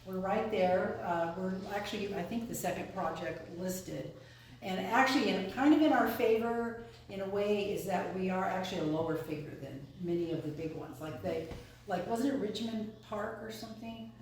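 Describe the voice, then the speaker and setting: A woman officer of the club, eager and quick to agree, speaking at a community meeting. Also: mid-range voice, darkish tone. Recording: very echoey hall, from far off.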